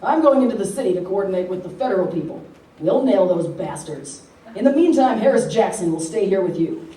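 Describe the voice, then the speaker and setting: A woman playing a brisk, blunt army general in a comedic stage radio play. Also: mid-range voice, lively intonation, mellow, lightly acted. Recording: roomy distant hall, faint noise.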